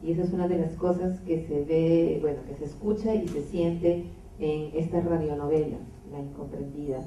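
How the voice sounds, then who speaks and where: A woman moderating a public debate, speaking off the cuff and deliberately, with pauses between phrases. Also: low voice, fairly even intonation, dark tone. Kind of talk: spontaneous introduction opening a public debate. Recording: echoey, distant, dull, slightly noisy room recording.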